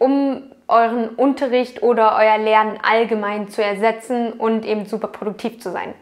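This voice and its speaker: A young woman talking straight to camera in an advice vlog, chatty and earnest, addressing her viewers directly. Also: fairly high pitch. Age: young adult. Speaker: vlogger. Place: small indoor room at home.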